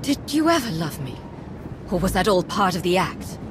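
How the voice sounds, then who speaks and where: An actress playing a steely alliance commander and wounded lover in a dramatic video game, earnest and measured. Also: female voice, on the low side, big pitch swings, background noise. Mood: hurt, pleading.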